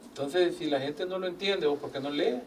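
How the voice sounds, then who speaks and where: An older man tells his story in a relaxed interview and voices the people he quotes. He sounds a little far from the mic, with a faint hiss underneath. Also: fairly high pitch, moderate pace. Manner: animated, acts out quoted dialogue, religious phrasing. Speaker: guest, business owner. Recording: distant mic with faint background noise.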